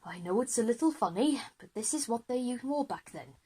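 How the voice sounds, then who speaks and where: A woman's voice: fairly high pitch, animated, fairly quick, mellow, husky. A woman voicing a well-meaning, flustered male history teacher in a children's audio drama, explanatory and apologetic. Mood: apologetic, reassuring.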